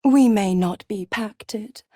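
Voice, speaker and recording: A woman's voice: mid-range voice, takes it slowly, dark tone, no echo, clean studio sound. An actress playing a scheming, cruel, seductive she-devil villain in a video game, with a taunting, condescending manner. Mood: cold, commanding. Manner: taunting, drawling, condescending, purring endearments like "pet". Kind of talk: theatrical video game character acting.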